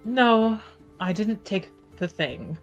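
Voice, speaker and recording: A woman playing a poised, courteous fantasy adventurer with a formal, measured, polite manner. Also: mid-range voice, animated, dull audio, faint music behind. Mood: matter-of-fact. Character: poised, courteous adventurer woman named Ade, keeping composure.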